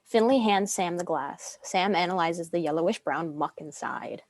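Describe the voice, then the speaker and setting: A woman's voice: lowish voice, moderate pace, no echo. A young woman reading screenplay stage directions as a narrator. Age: young adult.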